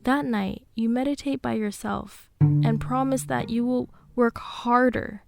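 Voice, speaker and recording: A woman narrating a radio drama in the second person, with deliberate phrasing and hushed, breathy passages. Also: a little high, animated, loud music behind the voice. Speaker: narrator and host.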